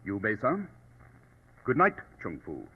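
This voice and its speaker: An actor playing a brilliant, commanding British detective in a theatrical, precise old-time radio drama style. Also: male voice, lowish voice, huge pitch swings, a little slow, husky, heavily acted. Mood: cool, courteous. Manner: theatrical, precise, self-assured. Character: brilliant, confident, commanding English male detective. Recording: dull vintage radio recording.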